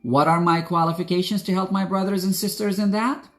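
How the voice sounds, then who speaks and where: A man's voice: sits high, steady tone, brisk. Earnest young man giving a pep talk. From Iraq. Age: young adult. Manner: earnest, direct, preachy, addresses viewers as guys. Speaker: spiritual coach. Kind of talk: motivational talk to camera.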